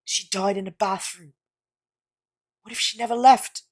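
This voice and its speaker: A woman playing an earnest, quick-thinking boy wizard in an audio drama, speaking with deliberate conviction. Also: mid-range voice, fairly even intonation, lightly acted. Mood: urgent realisation. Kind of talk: fan audio drama acting.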